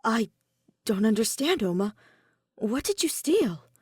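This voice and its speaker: A woman's voice: on the high side, sing-song, a little slow, a little mellow, breathy edge, no echo, heavily acted. A shy teenage boy, played by a woman narrator, awkward and flustered. He speaks hesitantly in an audio story, with a close, dry sound. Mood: confused.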